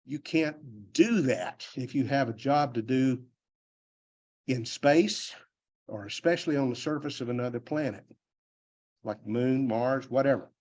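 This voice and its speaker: A man's voice: mid-range voice, unhurried, darkish tone. Older Texan man, retired engineer type, folksy conference presenter, plain and rambling. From Texas. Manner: plainspoken, self-deprecating, loose and rambling. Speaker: conference speaker. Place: university convention hall.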